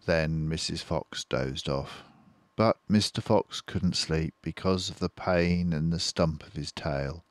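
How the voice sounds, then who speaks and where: An older man reading a children's book aloud slowly and expressively, close to the mic in a quiet room. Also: sits low, dry recording. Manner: slow, expressive, doing all the voices. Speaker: storyteller.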